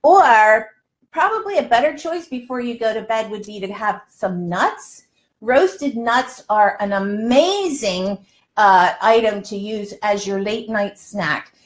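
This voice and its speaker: A woman's voice: mid-range voice, animated, takes it slowly. A woman in her sixties chatting casually to viewers as host of a live cooking show, folksy and emphatic. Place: home kitchen, indoors.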